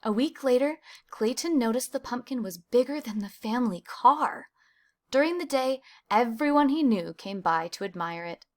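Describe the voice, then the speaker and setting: A woman reads a children's storybook aloud in a fairly high voice. She narrates expressively, leaning on key words for young listeners. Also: animated, normal speed, darkish tone. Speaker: storybook reader. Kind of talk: expressive children's picture book read-aloud.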